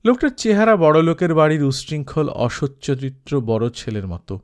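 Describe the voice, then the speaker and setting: A man's voice: fairly high pitch, big pitch swings, moderate pace, a little mellow. A man reading a literary story aloud as a scripted audiobook narration.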